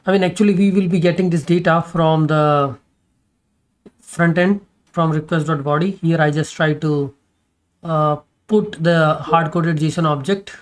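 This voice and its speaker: A man's voice: on the high side, fairly even intonation, unhurried. A man explaining code step by step in a screen-recorded programming tutorial, with many fillers and restarts as he goes.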